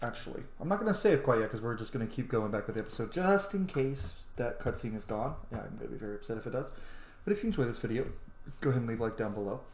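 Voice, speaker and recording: A man's voice: mid-range voice, expressive, rapid-fire, a little mellow. Echoey, chatty man doing gameplay commentary. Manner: chatty, reacts aloud, thinks aloud. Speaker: let's play host. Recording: roomy echo, game music behind.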